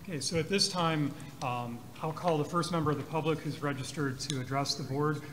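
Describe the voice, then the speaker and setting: A man's voice: a little high, a little fast. A man chairing a formal city board meeting, speaking hesitantly with many ums and uhs. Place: city council chamber. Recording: noisy public meeting audio.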